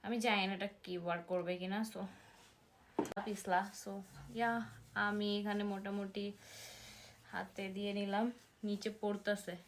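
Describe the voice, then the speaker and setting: Bangladeshi girl vlogger in her youth, chatty n excited, drops english words, bit of noise behind her. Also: medium pitch, moderate pace, pauses often. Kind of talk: casual vlog, mixing in English. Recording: noticeable background noise, clicks.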